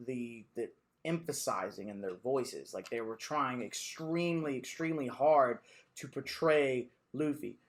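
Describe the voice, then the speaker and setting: A man with a deep voice hosting a casual chat, emphatic and opinionated. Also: fairly bright tone. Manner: emphatic, opinionated, with easy laughter.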